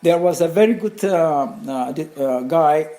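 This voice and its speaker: An older man with a Bulgarian accent speaks in a mellow, relaxed voice that sits a little high. He tells anecdotes casually, stopping and restarting, wandering off and picking up again with animation. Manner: halting, rambling, animated anecdotes with chuckles. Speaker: interview guest, craftsman and designer. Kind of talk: casual conversational storytelling.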